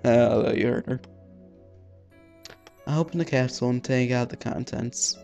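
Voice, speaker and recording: A man's voice: medium pitch, measured pace, creaky, mellow, faint music behind. A man hosting a let's-play, reading a visual novel aloud with casual asides and the odd stumble over words.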